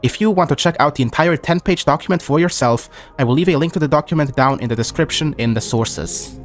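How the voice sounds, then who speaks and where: Man narrating history over faint music. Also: a little high. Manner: measured, reads document quotes aloud.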